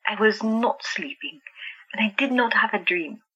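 Actress doing a haunted stubborn young sister in a radio play, bright husky voice, slightly muffled recording. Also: female voice, medium pitch, normal speed. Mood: desperate. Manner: earnest, insistent, emotional. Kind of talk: audio drama acting.

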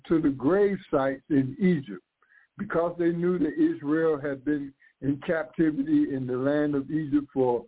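Congested older pastor on a phone-like line. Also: male voice, a little high. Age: 60s. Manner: fervent, repeats and restarts phrases. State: congested from flu. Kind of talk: live radio sermon. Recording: narrow phone-like radio sound.

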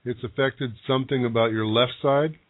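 Halting man with a tinny radio sound. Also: mid-range voice, steady tone, no room sound. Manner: halting, searching, many restarts. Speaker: psychic medium, show regular. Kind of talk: live call-in radio reading. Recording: dry, narrow phone-like broadcast sound.

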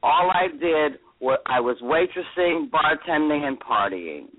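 A woman in her seventies from Connecticut, telling stories as a guest in a radio interview in a chatty, lively way. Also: sits low, a little slow, no echo. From Connecticut, USA. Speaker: fashion designer guest. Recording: dry, tinny phone-like radio sound.

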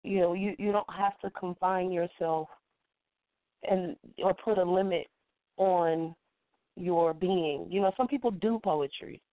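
A congested woman recovering from the flu, speaking deliberately and reflectively as an interview guest who is a poet and educator. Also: on the low side, fairly even intonation, no room sound. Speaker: featured guest, spoken word poet and educator. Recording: muffled phone-quality call-in line.

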